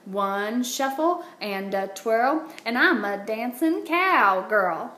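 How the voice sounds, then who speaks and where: A woman voicing a spunky, competitive little cowgirl in a children's storybook, with showy drawn-out exclamations. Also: on the high side, lively intonation, pushed and bright, full character voice. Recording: roomy echo, light background noise.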